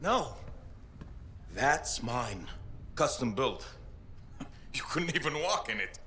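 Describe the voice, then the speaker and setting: Gruff game veteran: forceful, bright, widely swinging delivery, scolding, faint noise behind. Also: male voice, mid-range voice, sing-song, slow pace, exaggerated voice. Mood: exasperated. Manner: scolding, emphatic. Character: gruff, exasperated male veteran pilot. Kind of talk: video game cutscene acting. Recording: faint background noise and ambience.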